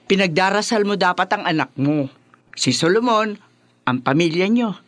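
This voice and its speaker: A woman plays an older mother-in-law in a radio drama. She is bossy and proud, talks on and on, and her voice rises and falls in lively swoops. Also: on the low side, moderate pace, no room sound, lightly acted. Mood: bossy, chatty. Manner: chatty, bossy, exclamatory. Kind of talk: Tagalog radio drama acting.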